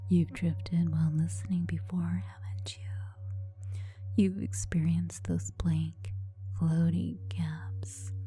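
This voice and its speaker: Whispery asmr hypnosis lady, super slow n breathy, close mic, soft music behind her. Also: lowish voice. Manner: slow, gentle, coaxing, teasing guidance. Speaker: sleep hypnosis host and relaxation specialist. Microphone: close to the mouth. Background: soft background music.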